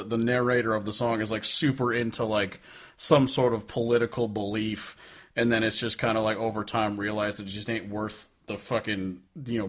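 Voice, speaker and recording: A man co-hosting a music podcast, talking casually in a frank, sweary, rambling manner. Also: on the low side. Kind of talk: casual chat about music.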